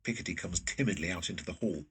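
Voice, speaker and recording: A narrator with a very husky low voice reading scripted stage action for an audio drama, slightly distant from the mic. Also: fast talker, a bit bright.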